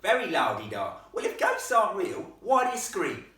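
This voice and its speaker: Man acting a Cockney ghost boy, storybook character, rough-edged, smug, impatient, high and wildly swooping. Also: wildly swooping pitch, moderate pace, a bit bright, exaggerated voice. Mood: smug, mocking. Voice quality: rough-edged, uncouth speech. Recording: echoey room, distant microphone.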